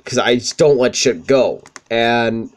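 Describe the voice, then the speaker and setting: A young man with a high-pitched voice, swearing freely as he tells rambling stories over gameplay. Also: slow. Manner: profane, rambling, self-deprecating. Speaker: let's play commentator. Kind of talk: casual gameplay commentary with storytelling.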